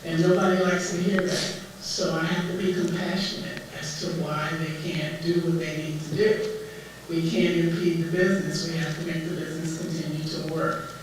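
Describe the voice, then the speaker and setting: A woman running for city council, speaking loudly and directly at a candidate forum. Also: low-pitched, little pitch movement, moderate pace. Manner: loud, direct, earnest. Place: senior community center hall. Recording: very echoey hall, distant mic, noisy.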